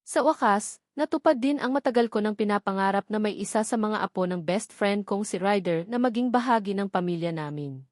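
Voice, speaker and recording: A woman's voice: mid-range voice, expressive, a little fast, a little mellow, dry recording, clean studio sound, natural voice. A woman voicing a cheerful old grandfather in a novel, affectionate and hospitable. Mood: delighted.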